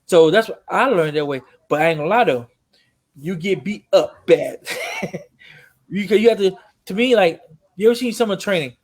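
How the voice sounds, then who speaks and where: A man from Miami with a notably high voice for a man. He co-hosts loosely, dropping slang and jumping in with quick laughing asides. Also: animated. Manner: laughing, eager interjections, street slang. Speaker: co-host. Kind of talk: casual podcast chat.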